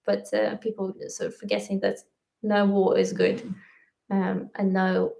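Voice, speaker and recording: A woman's voice: mid-range voice, level tone, measured pace, vocal fry, warm tone. A woman from Latvia whose first language is Russian, co-hosting a casual livestream and talking with many fillers and restarts. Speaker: livestream co-host. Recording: echoey room.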